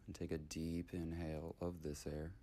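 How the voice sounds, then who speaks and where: A man leading a guided meditation in short, unhurried, soothing phrases with long gaps between them. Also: extremely low pitch, flat delivery, warm tone, slight background noise.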